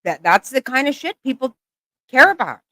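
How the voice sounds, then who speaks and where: A woman's voice: high-pitched, very animated, normal speed, sharp tone, no room sound. A woman giving blunt, exasperated reaction commentary, swearing often. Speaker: channel host reacting to clips.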